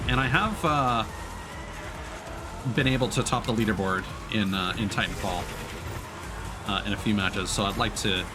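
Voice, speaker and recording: A man giving casual live commentary as a video game streamer, thinking aloud in a halting way. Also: lowish voice. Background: game music and vehicle sounds.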